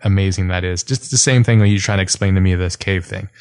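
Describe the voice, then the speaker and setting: Casting: low-pitched, upbeat host from Hawaii, slangy and swearing, dry close mic. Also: male voice, fairly even intonation, fast talker, darkish tone, clean tone, dry recording. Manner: enthusiastic, swears, surfer-style slang. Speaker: podcast host. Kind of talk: casual chat.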